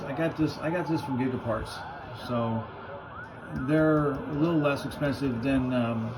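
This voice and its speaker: Very noisy recording, American man, mid pitch, colloquial, plain-spoken, unscripted hobbyist demo. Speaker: radio hobbyist presenting a gear demo. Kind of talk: casual unscripted product demo.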